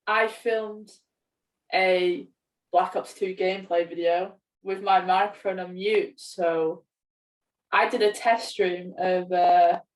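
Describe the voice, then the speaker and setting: A woman's voice: mid-range voice, darkish tone. A young woman talking casually as a co-host on a podcast. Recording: roomy, distant from the mic.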